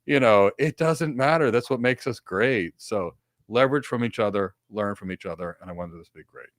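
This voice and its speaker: A man's voice: fairly low pitch, normal speed, no echo, studio quality. A man co-hosting a live career question session on a webcam, giving earnest advice in short repeated instructions from a home office with several monitors. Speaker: co-host answering career questions. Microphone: desk webcam setup, video call.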